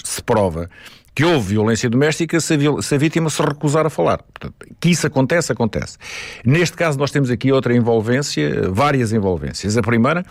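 A middle-aged man with a European Portuguese accent speaks in a dry, close-miked studio sound. He lays out legal points in a measured way, often restarting his sentences. His voice sits at an ordinary pitch. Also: dry recording. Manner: measured, many restarts and fillers. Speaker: crime commentator. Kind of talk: radio interview, explanatory.

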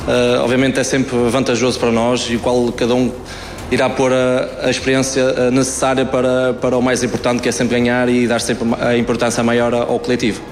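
Fast, flat-voiced footballer in an echoey hall. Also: male voice, mid-range voice. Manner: hesitant, repeats words. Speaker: football player. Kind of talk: press conference answers. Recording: echoey hall with ambient noise.

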